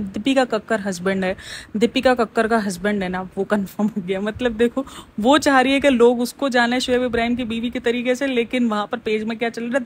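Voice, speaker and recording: A woman's voice: medium pitch, a little hiss or hum. A woman giving casual, opinionated gossip commentary as a vlogger, talking straight to her viewers. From Pakistan. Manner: chatty, opinionated, keeps calling listeners "bhai". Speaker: vlogger reviewing celebrity vlogs and TV shows.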